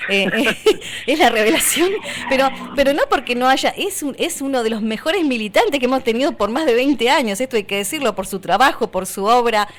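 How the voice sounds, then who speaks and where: A woman's voice: a little high, expressive, a little fast, a little hiss or hum. A woman radio host with an Argentine accent, running an interview in a chatty way with many fillers and restarts. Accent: Argentine Spanish.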